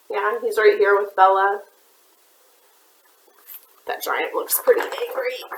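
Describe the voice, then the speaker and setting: A woman's voice: fairly high pitch, fairly bright tone. A woman children's librarian reading a picture book aloud to kids, with chatty asides. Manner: chatty asides to young listeners. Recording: roomy echoey room.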